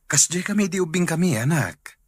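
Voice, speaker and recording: A husky-voiced, expressive family man. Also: a little high, a little fast, no room sound. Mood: affectionate. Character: evasive, sweet-talking husband and father. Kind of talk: Ilocano radio drama acting.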